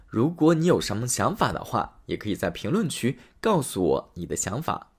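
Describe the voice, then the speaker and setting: A man narrating a fairy tale for a storytelling podcast, lively and expressive. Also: medium pitch, moderate pace, breathy edge.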